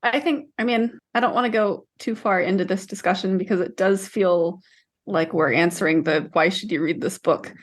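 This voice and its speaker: A woman in her thirties talks as a podcast co-host. Her pitch is average for a woman. The delivery is casual, unscripted book chat between two hosts. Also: fairly even intonation, moderate pace. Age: 30s.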